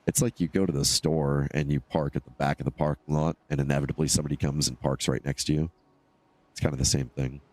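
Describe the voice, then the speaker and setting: A man with a stuffed-up, nasal voice giving casual, low-key, rambling solo commentary as he live streams a game. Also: steady tone, fast talker, a little mellow. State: congested from allergies.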